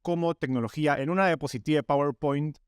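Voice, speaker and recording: Higher-pitched male podcast host. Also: quick pace, clear, resonant tone, no room sound, clean studio sound. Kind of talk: scripted narration and podcast interviewing.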